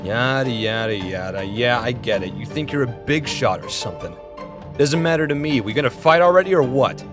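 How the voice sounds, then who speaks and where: Man playing a gruff, bored brawler demigod. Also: mid-range voice, lively intonation, a little fast, music in the background, lightly acted. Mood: bored contempt. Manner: gruff, curt, unimpressed. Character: scowling, gruff, bored male brawler demigod itching to fight. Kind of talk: audio drama acting.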